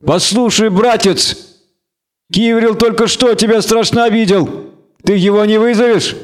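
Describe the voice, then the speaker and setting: A smug leader of thugs acted out by a male audiobook reader, pitched up and sneering. He gives orders and goads with mock friendliness, like a man used to being obeyed. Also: steady tone, measured pace. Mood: bossy, mocking. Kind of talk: audiobook character acting.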